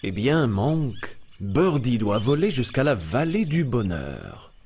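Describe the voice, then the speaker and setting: A man's voice: mid-range voice, sing-song, slow pace, darkish tone. A man playing a kindly, reassuring adult jungle animal who teaches and tells stories in a children's cartoon. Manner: patient, encouraging, storytelling.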